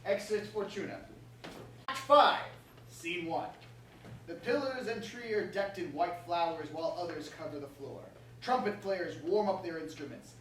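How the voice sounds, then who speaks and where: A man's voice: high-pitched, expressive, moderate pace, fairly bright tone. A man reading stage directions as scripted narration at a staged reading. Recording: roomy, distant mic, faint noise.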